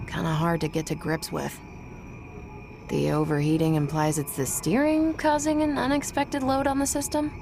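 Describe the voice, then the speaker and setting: A woman's voice: low-pitched, flat delivery, normal speed, vocal fry, a little mellow. A woman playing a confident, blunt young female test pilot in a video game cutscene, with a casual, matter-of-fact delivery. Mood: thoughtful, breezy. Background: music.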